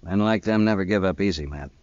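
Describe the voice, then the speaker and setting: A rich, proud gambler of the Old West, played by a male actor with a very deep, self-assured voice. He talks plainly, in the muffled, dry sound of a radio western. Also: fast, a little mellow, no room sound, close to an everyday voice. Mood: indignant, determined. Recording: dull vintage radio recording.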